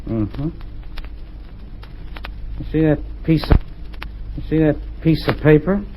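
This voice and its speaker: A man's voice: on the high side, takes it slowly, muffled recording, noisy background. An actor playing a cold, threatening gangster who takes a man hostage, in old-time radio acting. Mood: menacing. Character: menacing male gangster holding a hostage.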